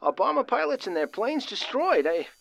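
An actor playing a nervous, excitable young working man of the 1930s, stammering and using folksy slang, in a radio drama. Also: very high-pitched, moderate pace, creaky, no echo, heavily acted. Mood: panicked, despairing. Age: young adult. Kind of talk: old-time radio drama acting.

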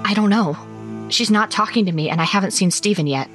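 A woman playing an anxious suburban narrator, telling a horror story in a measured, dramatic first-person style over music. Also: medium pitch, a bit bright, natural voice. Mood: alarmed. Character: anxious suburban woman recounting a frightening Christmas night. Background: background music.

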